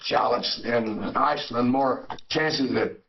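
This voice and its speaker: An older man, a Navy veteran, reminiscing in an interview, halting with many fillers and restarts. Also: mid-range voice, lo-fi sound, a crowd in the background.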